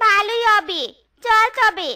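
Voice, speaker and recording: A woman's voice: extremely high pitch, takes it slowly, sharp tone, heavily acted. A woman playing an emotional, steadfast village widow and mother, speaking emphatically in a children's cartoon. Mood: agreeable. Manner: dramatic, emphatic. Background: children's music.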